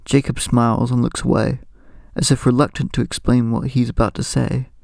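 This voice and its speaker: Gravelly man narrating horror. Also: mid-range voice, flat delivery, darkish tone, dry recording, clean studio sound. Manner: measured, suspenseful. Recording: music underneath.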